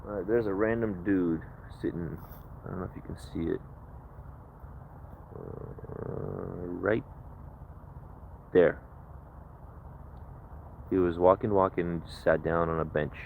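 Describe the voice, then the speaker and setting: Low-voiced man on a tinny phone line. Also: steady tone, slow pace, vocal fry, long pauses. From Ukraine. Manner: reflective, rambling with restarts. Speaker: livestreamer. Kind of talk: casual livestream chat. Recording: tinny phone-like mic with faint noise.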